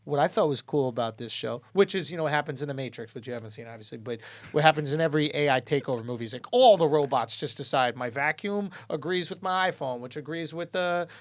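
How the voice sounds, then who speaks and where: A man with a fairly high voice hosts a relaxed podcast conversation. He jokes, swears and pushes his point with rising energy. The sound is dry and close, with no room echo. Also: fast talker. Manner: joking, swearing, builds an argument. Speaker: podcast host. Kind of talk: casual podcast chat.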